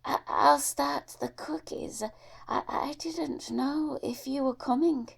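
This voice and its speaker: A woman voicing an eager, doting old lady in an audiobook, breathy and halting. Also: high voice, pitched above the performer's own voice, full character voice. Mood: eager, flustered. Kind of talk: acted audiobook dialogue.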